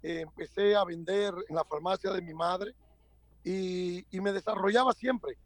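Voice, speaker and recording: A man's voice: sits high, fairly even intonation, measured pace, dry recording. A man, a pastor guest telling his story in a podcast interview, joking easily. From Dominican Republic.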